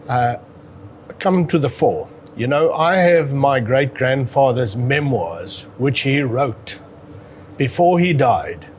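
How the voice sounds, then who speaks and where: A man from South Africa speaking English with an Afrikaans accent, unhurried and deliberate. He pauses and clears his throat between thoughts. A faint noise sits under the voice. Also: mid-range voice. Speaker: interviewee. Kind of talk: measured interview answers.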